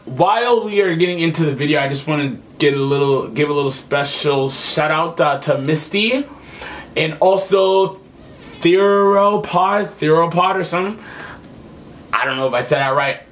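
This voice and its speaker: A man's voice: fairly high pitch, unhurried. A young man giving casual reaction commentary as a video host, emphatic and repeating his phrases. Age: young adult. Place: small home room with computer setup.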